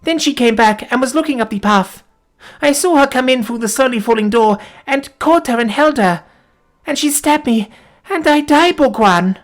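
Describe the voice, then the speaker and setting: A woman's voice: high voice, expressive, normal speed, voice pushed up. A woman voicing a devoted young woman who is dying, speaking tenderly in faint, gasping breaths. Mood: tender, fading. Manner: gasping, tender, archaic formal speech.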